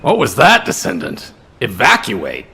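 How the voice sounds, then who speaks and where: An actor playing a concerned, urgent soldier in a video game, with a rough gravelly voice. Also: male voice, lowish voice, huge pitch swings, unhurried, pushed and bright, heavily acted. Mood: alarmed. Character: concerned male soldier on war-front watch, urgent. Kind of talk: video game cutscene acting.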